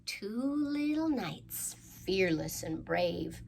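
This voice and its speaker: A woman's voice: mid-range voice, very animated, extremely slow, creaky, a little mellow. A woman hosting a children's storytime, leading rhymes and crafts in a playful, encouraging manner and inviting young listeners to join in.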